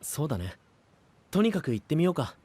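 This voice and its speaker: A man's voice: fairly high pitch, big pitch swings, a little fast, darkish tone, slightly rough, dry recording. A male actor playing a cool-headed, teasing young knight in an anime audio drama, speaking in a casual, boyish, drily wry way. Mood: pondering. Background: background music.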